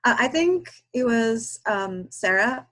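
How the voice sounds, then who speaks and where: A woman's voice: a little high, animated, a bit bright. Hesitant woman from Guatemala on a call. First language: Spanish. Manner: hesitant, halting with many fillers and restarts. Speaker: guest reader. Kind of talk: casual video call chat. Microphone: video call.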